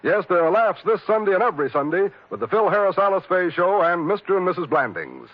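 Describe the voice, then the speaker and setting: A man's voice: high voice, big pitch swings, quick pace, no room sound. An American man announcing in scripted 1950s network radio style. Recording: dull old radio broadcast recording.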